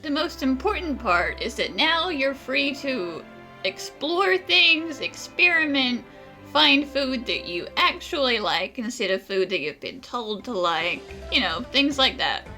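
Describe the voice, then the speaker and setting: Bright, animated, upbeat young friend. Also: female voice, high voice, unhurried, soft background music, heavily acted. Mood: upbeat, encouraging. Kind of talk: video game voice acting.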